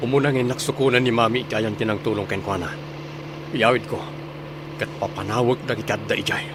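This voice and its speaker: A man's voice: on the low side, fairly even intonation, fast talker, noisy background, close to an everyday voice. A young man with a Filipino Ilocano accent playing a dutiful, protective son in a radio drama. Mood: determined. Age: young adult. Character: dutiful soldier son, protective of his mother.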